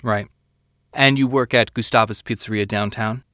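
Calm police detective played by a man, dry sound. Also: lowish voice, normal speed, dry recording. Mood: calm, questioning. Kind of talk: audio drama acting.

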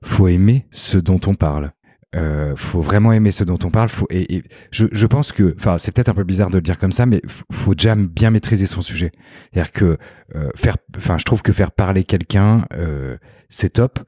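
A man's voice: low voice, normal speed, dark tone, breathy edge, no room sound, clean studio sound. A man podcaster speaking enthusiastically and casually, with many restarts and fillers. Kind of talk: casual interview answer.

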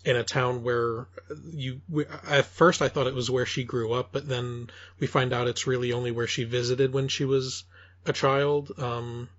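A man co-hosting a podcast in casual discussion, speaking haltingly with many ums. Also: mid-range voice, level tone, a bit bright, no room sound, lo-fi sound. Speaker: podcast co-host.

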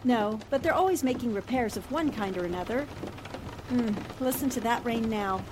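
A woman's voice: on the high side, normal speed, natural voice. An actress playing a good-natured, sensible newspaperwoman in a 1940s-style radio drama, with a mild, agreeable manner. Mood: mild, rueful. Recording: clear rain and car noise behind. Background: rain and vehicle sounds.

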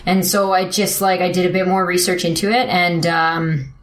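A woman's voice: fairly low pitch, steady tone, normal speed. A young woman telling a personal story in casual conversation, with lots of "like" fillers and frequent laughter. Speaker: interviewee. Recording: echoey room.

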